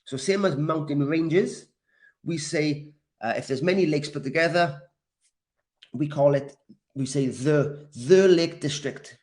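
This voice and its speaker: An English teacher leads a live online lesson at a very unhurried pace. He is a man from Wales with a voice pitched a little higher than most men's. His delivery is deliberate and encouraging, and he repeats key words so learners can follow. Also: darkish tone. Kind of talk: live grammar lesson, reacting to comments.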